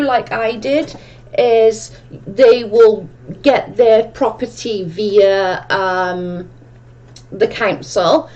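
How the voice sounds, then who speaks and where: A woman's voice: medium pitch, a little mellow, muffled recording. A woman with a strong Welsh accent hosting a casual livestream chat, reading viewer comments aloud and answering them in a chatty, rambling way. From Wales. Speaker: livestream host.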